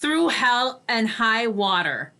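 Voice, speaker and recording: A woman's voice: a little high, sing-song, slow pace, bright, forceful tone. A woman co-hosting a radio show, chatting casually and conversationally. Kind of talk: casual talk show chat.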